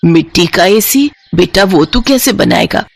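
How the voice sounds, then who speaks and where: A woman's voice: fairly low pitch, lively intonation, unhurried, creaky, breathy edge, dry recording, full character voice. Woman playing a caring village mother. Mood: concerned. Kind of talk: Hindi animated moral-story voice acting.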